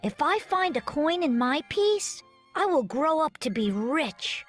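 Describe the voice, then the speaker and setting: Female, high and very animated, sing-song kids' narration over light music. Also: a little slow. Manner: bright, sing-song, clearly read. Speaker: narrator of a children's video. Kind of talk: scripted narration for children.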